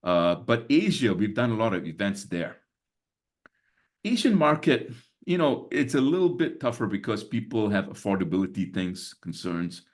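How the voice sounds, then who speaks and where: Deep-voiced man in his sixties, chatty. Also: sing-song, normal speed. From Singapore. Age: 60s. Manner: chatty, many fillers, chuckles between points. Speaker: webinar host and business mentor. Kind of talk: casual live webinar talk.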